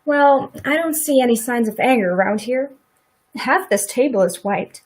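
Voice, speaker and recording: A woman in a radio play acting a middle-aged farm wife who is sympathetic, quietly indignant and plain-spoken in a folksy rural way. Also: on the high side, expressive, moderate pace, husky.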